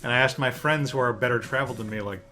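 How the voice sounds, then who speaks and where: A young man with a Californian accent chats on a live stream, rambling and opinionated and swearing freely. His pitch is mid-range, and game music plays clearly behind him. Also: quick pace. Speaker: streamer co-host. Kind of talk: casual live-stream chat.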